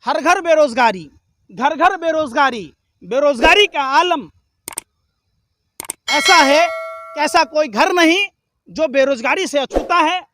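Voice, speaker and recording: A man's voice: very high voice, expressive, slow pace, pauses often, background noise. A man speaking in a heated political argument, combative and indignant, with emphatic repetition and rhetorical questions.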